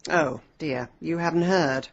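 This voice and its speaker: A woman playing a sharp, ambitious middle-aged English amateur sleuth in a radio drama, with a brisk, wry, dry delivery. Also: low-pitched, highs cut off. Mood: defensive, matter-of-fact.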